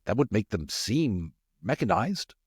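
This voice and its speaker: A man's voice: lowish voice, sing-song, measured pace, slightly rough, dry recording, exaggerated voice. A blunt, worried male rat in a storybook, voiced by a man, with widely swinging intonation and an urgent delivery. The sound is close and very dry. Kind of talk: audiobook character voice.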